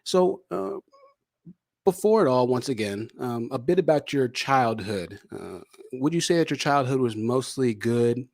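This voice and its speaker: A man hosting a casual podcast interview, from Michigan, relaxed and chatty with long asides. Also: medium pitch, measured pace, vocal fry, no echo, studio quality. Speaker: podcast host.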